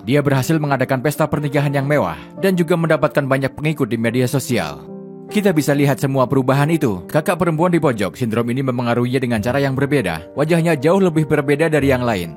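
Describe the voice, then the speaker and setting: A man narrating a travel documentary vlog in a scripted voice-over, reading facts in an even, steady way. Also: mid-range voice, fast, over music.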